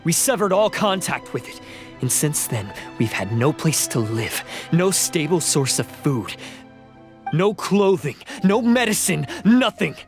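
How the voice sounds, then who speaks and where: A defiant young oni outlaw in a video game. His voice is a little high, with music playing behind it. He speaks with dramatic intensity, scornful and bitter. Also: very animated, a little slow. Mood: anguished, defiant. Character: bitter, defiant young male oni outcast turned thief.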